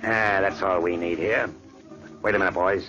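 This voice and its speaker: An actor playing a gruff undead gang boss, cocky and cruel, who sneers in tough-guy slang, in 1940s radio acting. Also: sits low, steady tone, normal speed, lightly acted. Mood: sneering. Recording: dull old radio recording with music.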